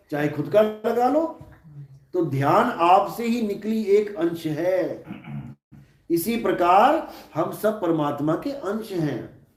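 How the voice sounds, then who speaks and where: Man preaching at a slow, measured pace, posing questions and answering them, echoey hall sound. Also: sits high, a little mellow. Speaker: spiritual teacher. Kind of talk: live satsang discourse. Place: large hall.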